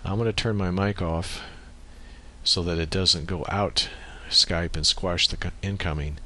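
A man giving a step-by-step software tutorial as screencast narration, speaking into a USB microphone. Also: low-pitched, steady tone, a little slow.

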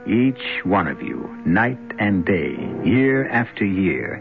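A man introduces a seventies radio mystery in a lowish, theatrical voice. He is deliberate and ominous, with sweeping intonation, over loud music on a narrow old recording. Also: very animated, gravelly. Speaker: radio drama host. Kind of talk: dramatic scripted narration, 1970s radio.

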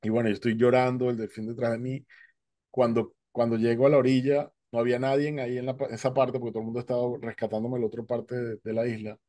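A man's voice: mid-range voice, little pitch movement, fast talker, warm tone, no room sound. A man in his forties telling a personal testimony on a headset mic at home, acting out the dialogue as he goes. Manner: vivid storyteller, acting out dialogue. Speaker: guest speaker. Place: home, indoors, virtual background.

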